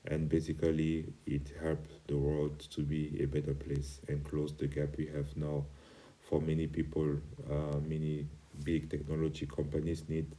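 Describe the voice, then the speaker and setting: Very deep, bright-voiced man teaching haltingly. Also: monotone, poor audio. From Cameroon. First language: not English. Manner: halting, hesitant phrasing. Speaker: company CEO, online course instructor. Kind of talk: informal online lesson, unscripted explanation.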